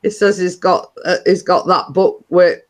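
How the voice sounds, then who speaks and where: A woman's voice: fairly low pitch, measured pace. A middle-aged woman, hosting a casual chat and telling a rambling story with frequent chuckles. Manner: rambling, chuckling, dialect "were" and "me day". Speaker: host.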